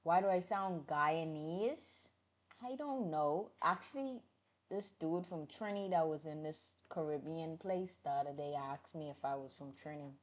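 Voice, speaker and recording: A woman from the Bahamas, chatting casually with viewers on a livestream, outspoken and animated, swinging from loud outbursts to low mutters. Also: unhurried.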